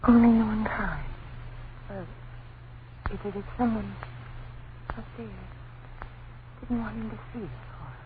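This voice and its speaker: A woman's voice: medium pitch, measured pace, long pauses, close to an everyday voice. An actress playing a frightened, anxious wife and mother, with breathless, pleading delivery in an old-time radio horror play. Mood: puzzled dread. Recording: tinny, noisy old radio broadcast.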